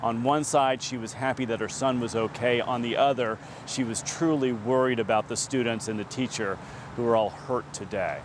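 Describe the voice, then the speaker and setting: Male field reporter, American, mid pitch, live outdoor hit with faint traffic behind. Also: steady tone, moderate pace. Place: outdoors on a street outside a school.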